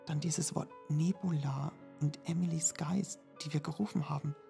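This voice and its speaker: Man playing a gravelly skeptical student. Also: a little high, fairly even intonation, normal speed, mellow, soft background music. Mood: musing. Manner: doubtful, questioning. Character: young male student, skeptical sidekick. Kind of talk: German fan audio drama.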